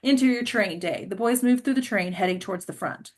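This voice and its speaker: A woman's voice: medium pitch, fairly quick, darkish tone. A woman reading stage directions aloud as scripted narration.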